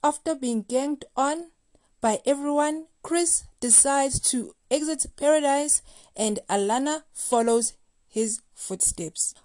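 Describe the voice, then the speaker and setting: A woman's voice: high voice, darkish tone, no room sound. A woman hosting a recap show, reading scripted commentary in a playful, gossipy, slangy way. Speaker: host of a reality TV recap show.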